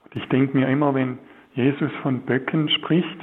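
A priest from Swabia, giving a spiritual talk in a slow, searching way with many hesitations. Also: male voice, medium pitch, steady tone, slightly rough, phone-quality sound. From Swabia, Germany. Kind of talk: spiritual talk on a religious broadcast.

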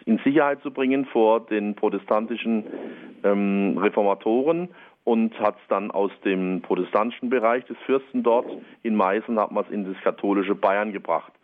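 An older male pastor, heard over a tinny phone line. His voice sits low, and he explains things in a friendly, patient way. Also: moderate pace. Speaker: pastor and guest expert. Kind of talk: radio interview by phone.

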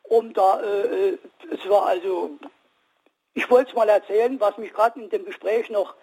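A man in his sixties calling in to a radio show, telling his story haltingly with many restarts. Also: high-pitched, fairly even intonation, gravelly, darkish tone, breathy edge, low-quality recording. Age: 60s. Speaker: caller. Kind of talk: call-in radio testimony.

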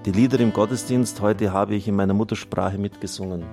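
Low-voiced man over guitar music. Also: fairly even intonation, brisk, a little mellow. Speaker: radio host and priest. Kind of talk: reading out listener testimonials and appealing for donations on air.

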